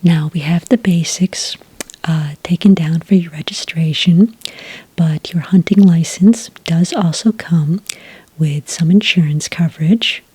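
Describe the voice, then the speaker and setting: A woman playing a friendly, patient licensing clerk in a soft, breathy ASMR roleplay, speaking gently and politely close to the microphone. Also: lowish voice, dry recording. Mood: calm, polite. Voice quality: breathy, soft, near-whisper. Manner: gentle, unhurried, politely official. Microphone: close, dry microphone.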